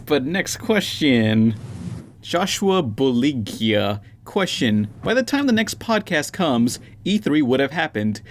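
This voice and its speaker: A man's voice: medium pitch, big pitch swings, slow, a little hiss or hum. A man hosting a casual group podcast conversation. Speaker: podcast host.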